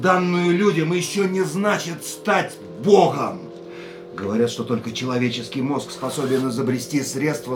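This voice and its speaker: A man's voice: fairly high pitch, natural voice. A male actor playing an injured, stubborn racing driver in a radio play, impatient, urgent and driven. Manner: urgent, agitated, barking orders. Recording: roomy, distant, loud vehicle noise.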